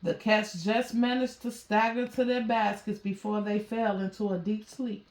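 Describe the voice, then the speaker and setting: A woman's voice: mid-range voice, level tone, moderate pace, a little mellow. A woman reading a children's story aloud as a narrator. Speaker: storybook reader. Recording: roomy, echoey sound.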